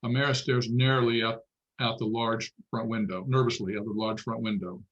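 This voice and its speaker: A man serves as narrator at a screenplay table read. He reads out stage directions in a matter-of-fact way, with a medium-pitched voice. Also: steady tone, a little fast, a bit bright, muffled recording.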